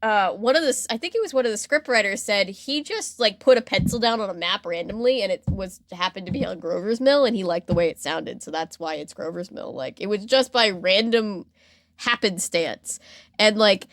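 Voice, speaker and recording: A woman's voice: mid-range voice, expressive, moderate pace, fairly bright tone, no room sound, clean studio sound. A woman hosting a podcast, telling a story in a casual, chatty, informal way and acting out imagined reactions.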